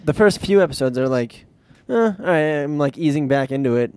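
A man's voice: on the high side, lively intonation, brisk, darkish tone, clear, resonant tone, dry recording. A man co-hosting a casual podcast chat, easygoing and chiming in with agreement. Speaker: podcast co-host.

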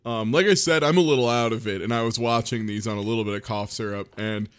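A man's voice: on the low side, fast talker, smooth. A man co-hosting a podcast in a casual chat, a little sick and nasal, rambling and laughing often. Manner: rambling and giggly. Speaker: podcast co-host.

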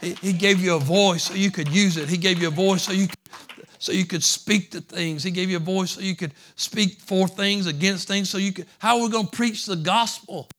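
A man preaching a sermon in a slightly high voice. He talks in a folksy, repetitive way, moving from soft conversational stretches into loud, fervent preaching. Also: steady tone, fairly quick. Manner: folksy, repeats phrases. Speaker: pastor.

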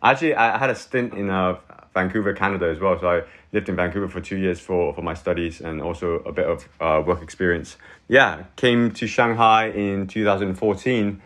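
Laid-back guy in his 30s from hong kong, deepish voice, keeps saying like and you know. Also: brisk. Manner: relaxed, rambling, many fillers like "like" and "you know". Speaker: guest. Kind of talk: casual chat.

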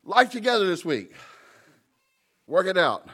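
A man's voice: sits high, big pitch swings, a little slow, a bit bright, husky. A man preaching a sermon with emphasis, calling out to the congregation and joking about his own mumbling. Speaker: pastor.

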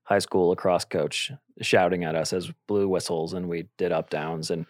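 Relaxed low-voiced male co-host. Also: normal speed, no echo, studio quality. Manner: relaxed, laughs easily. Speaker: podcast co-host. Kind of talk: casual conversation.

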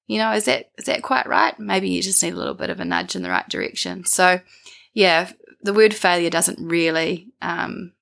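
A young woman with a New Zealand accent and a croaky voice from a winter bug, answering thoughtfully as an interview guest, searching for her words. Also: on the low side, fairly bright tone. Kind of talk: reflective interview conversation.

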